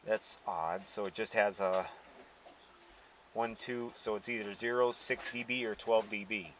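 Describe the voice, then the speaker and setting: A man's voice: on the low side, fairly even intonation, measured pace, fairly bright tone. A man hosting a casual car audio unboxing, talking informally and thinking aloud, with some noise behind him. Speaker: host of a car audio channel.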